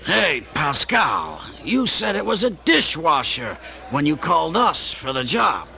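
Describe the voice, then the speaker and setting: A man's voice: a little high, wildly swooping pitch, a little slow, vocal fry, sharp tone, full character voice. A man playing a skeptical, bossy working-class plumber, the older brother, in a radio play, with brusque sarcasm. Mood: loud, exasperated.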